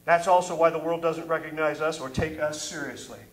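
A middle-aged man from the preaching at a church pulpit with a fairly high voice. He reads scripture and presses his points with rising fervor. The pulpit mic picks him up in a roomy hall with a ringing echo. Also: fairly even intonation, fairly quick. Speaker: pastor. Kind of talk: sermon. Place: church stage at a wooden pulpit. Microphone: pulpit microphone.

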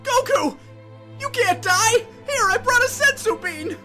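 An actor playing a small, anxious sidekick man in a cartoon parody, squeaky, whiny and frantic. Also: big pitch swings, moderate pace, music in the background. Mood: worried. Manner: frantic, exasperated. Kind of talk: comedic anime parody voice acting.

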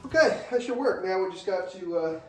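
A man's voice: sits high, animated, a little fast, a little mellow, faint music behind, poor audio. A man explaining plumbing work plainly and practically while working in an unfinished basement. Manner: plain, practical, matter-of-fact. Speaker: co-host doing the plumbing. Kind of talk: casual DIY explanation.

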